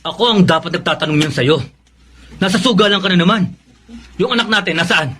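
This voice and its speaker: A hot-tempered young husband in a Filipino radio drama. His voice is very high for a man, exasperated and full of accusation. There is clear noise on the recording. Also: fairly quick, bright, forceful tone. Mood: stern. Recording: noisy radio drama audio.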